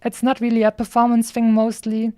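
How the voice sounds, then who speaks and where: A woman's voice: fairly high pitch, completely flat delivery, fairly quick, dark tone, no room sound. A woman streamer giving casual, chatty gameplay commentary, rambling and thinking aloud as she goes.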